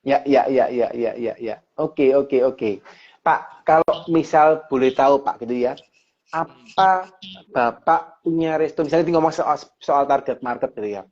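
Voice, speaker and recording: A man's voice: medium pitch, measured pace, a little mellow. Male host, polite, keeps saying ya ya ya, muffled lo-fi stream sound. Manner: polite, recaps answers, many "ya" and "Pak". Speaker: host, interviewer. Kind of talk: casual interview chat. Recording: lo-fi live stream audio.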